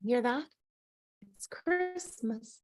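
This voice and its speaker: A woman's voice: high voice, animated, drawn-out words, heavily acted. An actress playing a wistful, mischievous female ghost at a script table read. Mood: playful, wistful.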